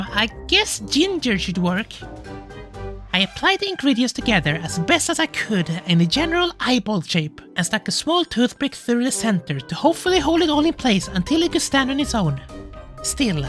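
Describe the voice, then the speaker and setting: A woman plays a gentle, anxious furry dad in a visual novel, high in pitch and very animated. The delivery is hesitant and emotional, easily flustered, with soft music underneath. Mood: nervous, narrating. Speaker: narrator. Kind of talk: dramatic first-person visual novel reading.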